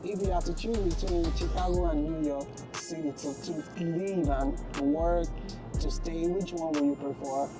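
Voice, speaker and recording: A man's voice: a little high, fairly even intonation, a little slow. A man hosting a casual interview, often repeating his guest's words and exclaiming. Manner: echoes the speaker's words, frequent exclamations. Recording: background music.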